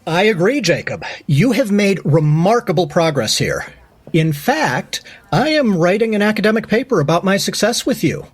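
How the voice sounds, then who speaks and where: A self-important asylum doctor in an audio drama. His speech is formal and lofty, and his pitch swings in a lively, animated way. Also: normal speed, husky, exaggerated voice.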